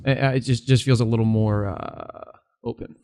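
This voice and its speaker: Young man, podcast co-host, casual chatty talk, rambling, fairly low voice. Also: fairly even intonation, normal speed, vocal fry, darkish tone. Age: young adult. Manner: easygoing, chatty, rambling with restarts. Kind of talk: casual chat.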